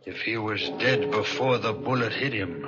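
An actor playing a matter-of-fact police officer in a 1940s radio drama. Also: male voice, on the low side, moderate pace, close to an everyday voice. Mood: grim, puzzled. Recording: muffled 1940s radio recording with loud music.

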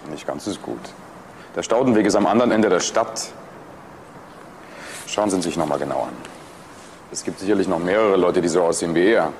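An actor playing a weary, sceptical police investigator, speaking colloquially in a German crime radio drama. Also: male voice, low voice, lively intonation, brisk, long pauses, slight background noise.

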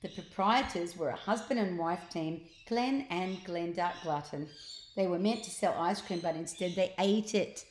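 A woman in her sixties reading a children's story aloud expressively and deliberately, with asides to young listeners. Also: on the low side, a little hiss or hum. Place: large open living room, indoors. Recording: roomy, echoing living room.

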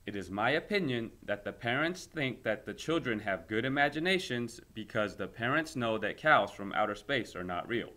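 Male teacher, medium-pitched voice, narrating a read-aloud lesson expressively. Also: animated, normal speed, hardly any pauses, bright, forceful tone. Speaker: teacher reading a story aloud.

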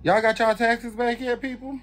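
A woman's voice: on the high side, flat delivery, normal speed, music in the background. A woman on a live stream panel, chatty and nosy. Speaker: panel guest. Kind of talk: casual panel chat.

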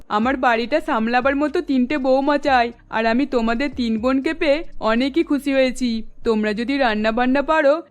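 Woman as a gracious high-voiced mother-in-law. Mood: pleased. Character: gracious middle-aged mother-in-law, pleased and considerate. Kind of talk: cartoon story dialogue.

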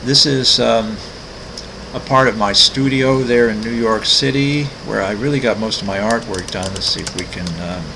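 A man in his sixties, an artist walking through his website, talking casually with many ums, pauses and restarts. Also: lowish voice, creaky, background noise. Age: 60s. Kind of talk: casual screen-recorded walkthrough.

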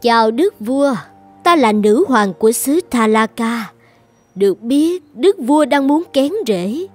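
A woman's voice: on the high side, expressive, takes it slowly, soft background music. Mysterious older cartoon queen, boastful, theatrical, self-assured. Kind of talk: dubbed children's cartoon acting.

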